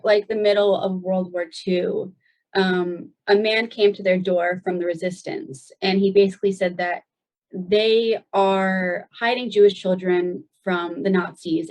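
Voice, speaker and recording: A woman in her twenties from the United States, a guest telling her story in a loose, chatty way with plenty of "um" and "like". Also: medium pitch. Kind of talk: casual personal storytelling. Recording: dull lo-fi sound.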